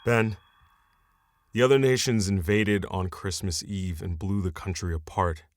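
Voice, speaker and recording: An actor playing a steady, loyal male scientist in a naturalistic audio drama. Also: low-pitched, a little slow, dry recording, studio quality. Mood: grave. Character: steady, loyal scientist colleague, grounded and persistent.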